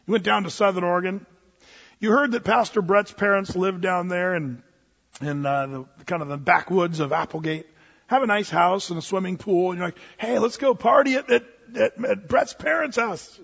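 A man's voice: sits high, moderate pace, creaky, a bit bright, slightly rough. A male pastor preaching a sermon in a conversational way, with joking asides and acted-out quoted voices. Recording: dull sound with long hall reverb.

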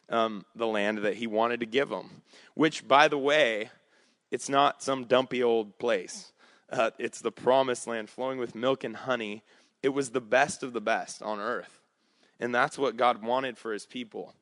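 A man preaching a conversational sermon, his voice slightly raspy, with frequent fillers and restarts. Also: medium pitch, a bit bright, no echo. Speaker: pastor.

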